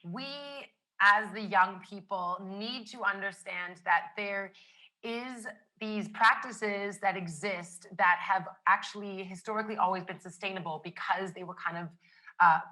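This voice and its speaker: A woman's voice: mid-range voice, normal speed, pushed and bright, rough recording. A woman hosting a podcast, summarizing her guest's points enthusiastically with many fillers. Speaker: podcast host. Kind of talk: casual podcast interview.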